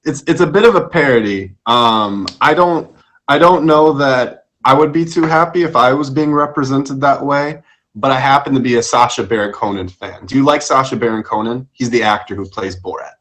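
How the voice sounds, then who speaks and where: A man's voice: medium pitch, normal speed, fairly bright tone. A man hosting a casual interview show, asking questions and joking with his guest. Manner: joking, teasing. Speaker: show host.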